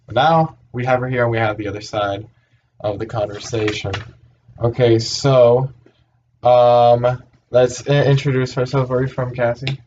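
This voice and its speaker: A man's voice: mid-range voice, level tone, normal speed, a little mellow. A young man from Puerto Rico hosting a casual podcast chat, hesitant, with many fillers and restarts. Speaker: host. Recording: dull lo-fi sound with faint hiss.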